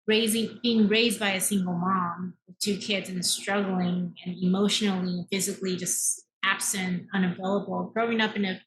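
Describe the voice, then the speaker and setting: A woman's voice: mid-range voice, fairly even intonation, normal speed, hardly any pauses, fairly bright tone, rough recording. A woman of thirty-nine, raised in Taiwan, telling her personal story earnestly and emphatically as an interview guest. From born in America, raised in Taiwan. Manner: earnest, emphatic, uses rhetorical tags like "right?". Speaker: podcast guest, mindset coach.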